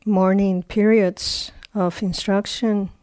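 A woman teaching guided meditation, speaking in short, unhurried phrases with long pauses between them. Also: medium pitch, flat delivery, a little mellow, smooth, no echo, studio quality.

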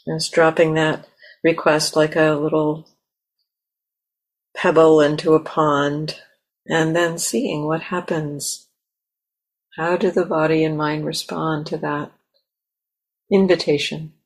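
A woman leading a guided meditation as a teacher, speaking gently and haltingly in short, softly inviting phrases. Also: low voice, flat delivery, low-quality recording. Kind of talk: guided meditation, unscripted and spoken in pauses. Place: meditation center talk.

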